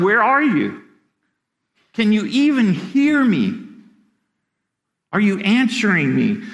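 A man's voice: high voice, a bit bright. A middle-aged man preaching a sermon as pastor, earnest, often repeating words as he starts a sentence.

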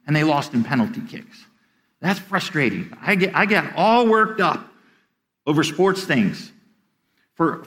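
A middle-aged pastor preaches with an earnest, plain delivery. His voice sits high for a man, and he often stumbles over and repeats the first word of a sentence as he builds his point. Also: fairly bright tone. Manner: earnest, repeats words when starting sentences. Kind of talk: sermon.

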